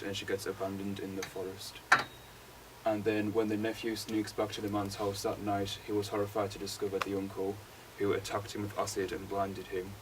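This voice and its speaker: Young man, low voice, monotone, hesitant student presentation, off-mic. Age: young adult. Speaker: college student presenting a project. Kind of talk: informal class presentation. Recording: distant mic, faint room noise.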